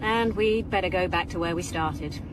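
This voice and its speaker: A woman's voice: lowish voice, normal speed, natural voice. A woman playing a police detective inspector in a radio drama, direct, probing and tactful, speaking in a matter-of-fact way. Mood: musing, brisk. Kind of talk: naturalistic radio drama dialogue. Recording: clear background noise, vehicle ambience.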